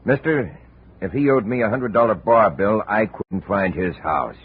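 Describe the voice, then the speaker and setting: Shifty american bartender, rough slangy talk, lowish voice that jumps around, tinny old radio. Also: male voice, expressive, moderate pace. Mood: evasive, defensive. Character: cagey, protesting lowlife male barman. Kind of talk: 1950s radio acting.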